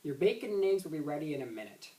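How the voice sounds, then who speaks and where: A man voicing a gentle, patient mother from a children's story, chiding and coaxing. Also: on the high side, big pitch swings, fast. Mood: bright coaxing. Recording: roomy echo, distant microphone.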